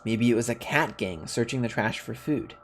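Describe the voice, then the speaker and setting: A man's voice: medium pitch, moderate pace, slight background noise. A man hosting a gaming video, reading a visual novel's narration aloud and dropping in casual asides. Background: faint music.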